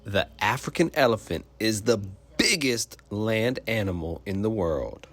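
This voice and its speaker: A man's voice: on the low side, animated, a little slow, a bit bright. A man reading a children's picture book aloud like a teacher, explaining simply and putting questions to young listeners.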